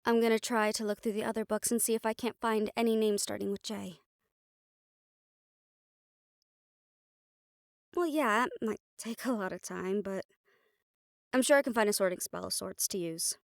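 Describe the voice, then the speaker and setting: A woman's voice: medium pitch, brisk, vocal fry, dry recording, clean studio sound, close to an everyday voice. A woman playing a stern, exasperated young siren banshee spellcaster in a roleplay audio drama, talking conversationally and emphatically. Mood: thoughtful. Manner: conversational, sighing, chiding, emphatic.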